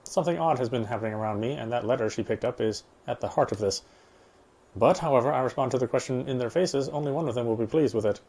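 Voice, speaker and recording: A man's voice: mid-range voice, fast talker, a little mellow, slightly rough, poor audio. A man reading game text aloud as a player, in the style of a let's play with casual commentary.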